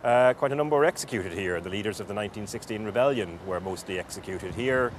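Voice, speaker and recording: A man, a history lecturer giving measured, articulate explanations in an interview. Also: fairly low pitch. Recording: clear outdoor city and traffic noise.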